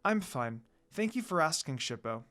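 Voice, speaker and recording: A woman reading the part of a kind, cheerful young priestess in a story, in a gentle, friendly manner. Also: low-pitched, very animated, moderate pace. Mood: kind, reassuring.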